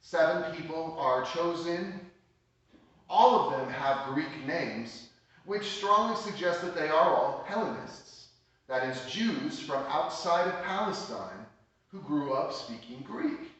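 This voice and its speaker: A man delivers a Sunday sermon in a steady, explaining manner, his voice sitting a little high. The sound carries heavy church reverb, as if picked up from a distance across the sanctuary. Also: dull audio. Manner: measured teaching tone, explains scripture plainly. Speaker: pastor. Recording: echoey church hall, mic at a distance.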